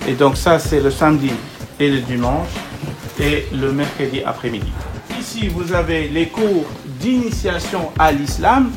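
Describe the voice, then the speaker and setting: A man's voice: on the high side, a little slow, echoey room. Senegalese-born man explaining, music behind. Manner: explanatory, with many "donc" and "voilà" fillers. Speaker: imam guiding a visit. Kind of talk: guided tour explanation.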